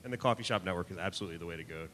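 Deep-voiced man in an echoing hall. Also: rapid-fire, pushed and bright. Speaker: panelist. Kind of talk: conference panel answer. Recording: long hall reverb, faint rumble.

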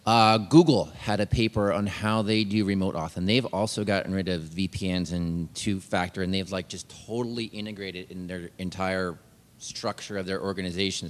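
A man moderating a conference panel, cutting in briskly with a remark. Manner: brisk interjecting. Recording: long hall reverb, faint rumble.

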